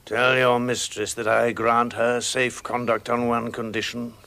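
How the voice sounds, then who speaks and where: A man playing a great lion king in a fantasy drama, solemn, measured and commanding. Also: medium pitch, little pitch movement, vocal fry, sharp tone. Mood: calm command. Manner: measured, grave, authoritative.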